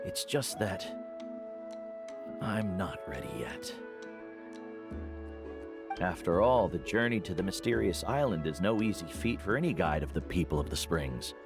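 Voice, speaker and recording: An actor voicing a gentle, caring older mentor guide in a video game, speaking in a measured, solemn way. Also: male voice, low-pitched, big pitch swings, over music, exaggerated voice. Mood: worried, solemn.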